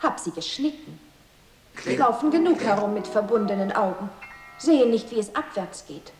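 An actress playing a bitter, defensive soul in a radio play, speaking in terse complaints. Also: female voice, medium pitch, lively intonation, moderate pace, natural voice. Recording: echoey, with music behind.

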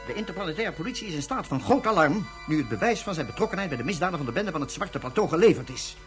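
A man's voice: fairly high pitch, expressive, rapid-fire, over music, close to an everyday voice. A man playing an authoritative, procedural police inspector in a radio drama, speaking formally. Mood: assured. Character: official male police inspector, dry and procedural.

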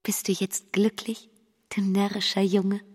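An actress playing a naive, affectionate young bride, coy and girlish, with a soft, breathy voice in a literary radio play. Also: medium pitch, little pitch movement, unhurried, dry recording, studio quality. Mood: playful, needy.

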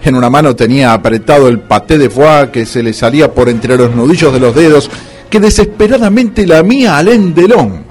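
A man's voice: mid-range voice, a little fast, a little mellow, slightly rough, faint music behind. An Argentine man narrating a comic radio drama in a scripted, mock-dramatic style. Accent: Argentine Rioplatense.

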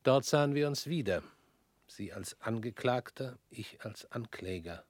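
A weary, husky former prosecutor. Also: male voice, medium pitch, animated, no room sound. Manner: measured, drops to near whispers. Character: former prosecutor, cool, weary, probing man. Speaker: actor in radio drama. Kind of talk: radio play acting.

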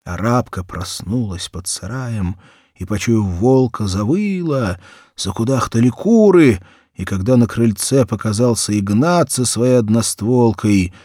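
A man narrating a children's story as an audiobook, theatrical and expressive, with dramatic pauses and emphasis. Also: on the low side, no echo, studio quality.

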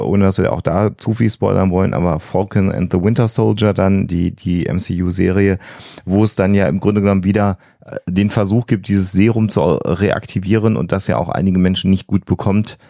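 A man's voice: sits low, steady tone, normal speed, gravelly, warm tone, no room sound, clean studio sound. A man co-hosting a podcast, explaining ideas in a conversational way. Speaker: podcast co-host.

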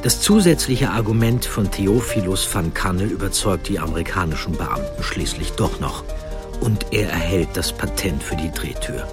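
Deep voiced guy narrating history from a script, measured, music clearly under him. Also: lively intonation. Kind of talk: scripted documentary storytelling narration.

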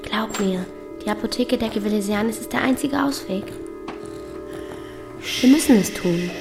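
A woman's voice: mid-range voice, normal speed, creaky, over music, natural voice. A woman playing a bold, defiant thirteen-year-old village girl, blunt and impatient, in a radio drama. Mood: urgent, impatient.

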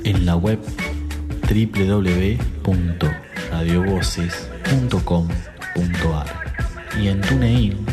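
A man with an Argentine accent reading a station identification in a measured announcing manner. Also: sits low. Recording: over loud music bed.

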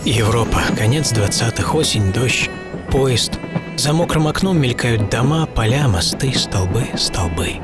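A man's voice: medium pitch, lively intonation, unhurried, natural voice. A man performing a wry, philosophizing industrialist in dramatic first-person radio narration, musing and ironic. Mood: wistful. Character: wry, philosophizing male industrialist recalling his travelling youth. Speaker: author and narrator of a radio programme. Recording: loud music under the voice. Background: music.

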